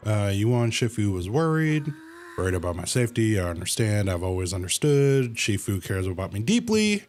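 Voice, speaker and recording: A man's voice: on the low side, big pitch swings, normal speed, a little mellow. A man livestreaming a game with casual, playful reaction commentary, slangy and emphatically repeating his phrases.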